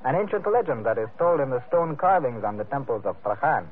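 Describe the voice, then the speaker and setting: An actor playing a courteous, grave informant in an old radio adventure, speaking in formal, ornate, deliberate phrases. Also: male voice, medium pitch, very animated, natural voice. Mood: solemn, cryptic. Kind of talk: old-time radio drama acting. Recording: noisy, narrow-band old radio recording.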